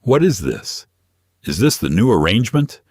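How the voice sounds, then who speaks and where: Corrupt patrician judge for audiobook, man acting: pompous, complaining bluster, animated pitch, older and self-important. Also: normal speed, breathy edge, dry recording, full character voice. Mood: nervous bluster. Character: pompous corrupt male judge, silver-haired, falsely jovial.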